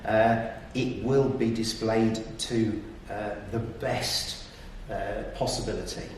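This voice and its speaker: A man explaining business tips conversationally, in hesitant, measured phrases. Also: on the low side, steady tone. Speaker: property business operator giving tips. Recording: echoey room, distant mic, faint noise.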